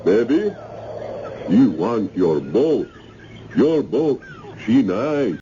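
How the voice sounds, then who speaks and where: A man's voice: mid-range voice, big pitch swings, extremely slow, mellow. An actor playing a big, sly, courteous island man in his forties with a Caribbean accent, in an old-time radio drama. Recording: muffled, noisy old radio recording.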